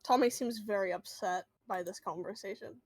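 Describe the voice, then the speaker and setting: A woman's voice: medium pitch, normal speed, breathy edge, dry recording, studio quality. A woman playing a tabletop role-playing game, chatting casually in and out of character in a hesitant, rambling way.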